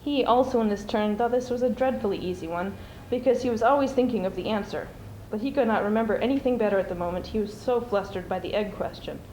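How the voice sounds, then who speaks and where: A woman's voice: medium pitch, fast, warm tone. A young woman, a student performer, giving a dramatic reading of a storybook. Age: teens or young adult. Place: school speech showcase before an audience. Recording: roomy, noisy amateur stage recording.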